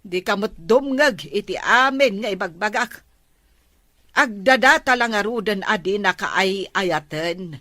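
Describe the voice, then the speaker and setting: An actress plays a worried Filipina mother in an audio drama, disapproving of her grown daughter. She sounds weary and reproachful, lower in her register, in a dry, close recording. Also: lively intonation, unhurried, sharp tone, dry recording. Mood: hurt, reproachful. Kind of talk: emotive audio drama acting.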